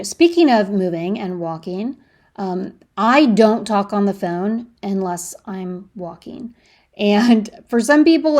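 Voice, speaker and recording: A woman's voice: mid-range voice, darkish tone. A woman hosting a solo podcast, chatting conversationally through personal anecdotes and practical advice, leaning on key words.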